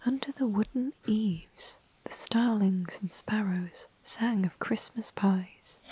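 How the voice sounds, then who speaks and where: A woman telling a classic storybook tale in a soft, unhurried ASMR whisper. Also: mid-range voice, husky, low-quality recording.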